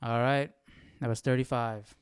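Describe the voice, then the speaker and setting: A man talks casually as a livestream host, puzzling things out aloud. His voice is clear and smooth, with an informal, questioning manner. The sound is dry and close, with little room around it. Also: mid-range voice, fairly even intonation, normal speed, no echo. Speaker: host of a Bible study livestream.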